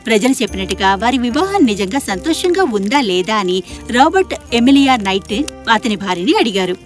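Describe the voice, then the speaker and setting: A woman narrating a scripted children's fairy tale in an expressive storytelling manner. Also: a little high, a little fast, faint music behind.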